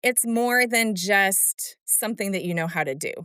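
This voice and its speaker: A woman's voice: medium pitch, moderate pace, pushed and bright, clean tone, no echo, clean studio sound. A woman hosting a podcast interview, affirming her guest and reflecting on what she says. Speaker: podcast host. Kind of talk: conversational interview.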